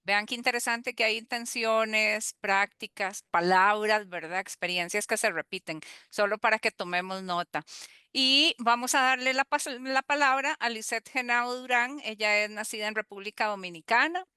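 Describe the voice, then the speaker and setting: Bright, smooth-voiced female moderator. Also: a little high, fairly even intonation, normal speed, no echo, clean studio sound. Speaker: panel moderator. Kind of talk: moderating a panel, introducing speakers.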